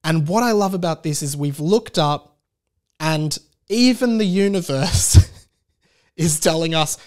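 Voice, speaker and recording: A man hosting a casual comedic solo podcast, rambling with stammered restarts and animated, incredulous emphasis. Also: on the high side, measured pace. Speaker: podcast host.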